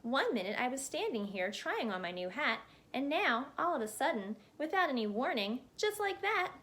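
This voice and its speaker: Woman putting on an excitable, swooping storybook chatterbox man. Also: sits high, moderate pace, voice pushed up, heavily acted. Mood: flustered. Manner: breathless rambling, interrupting himself.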